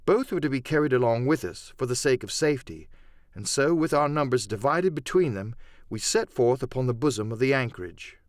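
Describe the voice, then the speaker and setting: Male audiobook narrator for adventure fiction: dramatic, very expressive intonation, mid pitch, dry recording. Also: brisk, no room sound.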